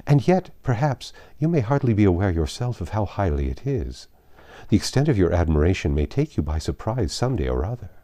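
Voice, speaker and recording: A man voicing a lively, opinionated young gentlewoman from a classic novel, speaking in an arch, emphatic and formal way. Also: fairly low pitch, a little fast, dry recording. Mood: arch, teasing.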